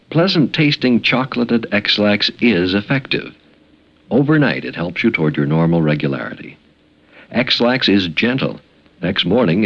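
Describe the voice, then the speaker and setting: A man reading a scripted 1950s radio advertisement as an announcer, earnest and deliberate. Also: fairly low pitch. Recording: dull 1950s radio recording.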